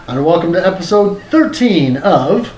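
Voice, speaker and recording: A man's voice: high voice, very animated, a little slow, a little mellow, soft background music. A man hosting a retro game review series, reading his scripted review aloud in a theatrical, tongue-in-cheek way.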